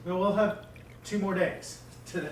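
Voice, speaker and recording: A man with a slightly high voice chats casually as a player in a tabletop game. His voice comes through with a clear roomy echo. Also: level tone, a little slow. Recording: roomy, echoing sound.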